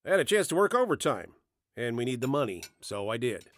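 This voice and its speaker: A man's voice: medium pitch, big pitch swings, brisk, fairly bright tone, slight background noise. An actor playing a tired, plain-spoken working father in a radio drama. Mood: tired, concerned.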